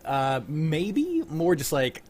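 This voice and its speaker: A man's voice: on the high side, huge pitch swings, takes it slowly, music in the background. A man hosting a livestream in a laid-back, casual chat, swearing now and then. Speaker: host of a livestream script-reading show. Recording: clearly noisy background.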